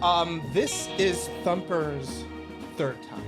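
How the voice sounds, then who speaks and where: A man acting as game master, improvising a scene for players in an animated, conversational and emphatic way. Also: on the high side, slow. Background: loud plucked guitar music.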